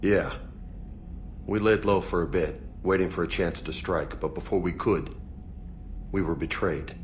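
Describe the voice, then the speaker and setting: Deep, rough-edged male soldier. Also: a little slow, a little hiss or hum. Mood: troubled, reflective. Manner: informal, slangy, swearing. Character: rough, casual male soldier, easygoing but burdened. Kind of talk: conversational video game acting.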